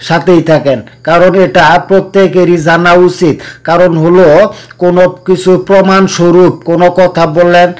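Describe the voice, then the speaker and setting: A man's voice: sits high, clear, resonant tone. A man hosting a tech tutorial, explaining things casually and repeating key points. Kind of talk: casual phone tutorial narration.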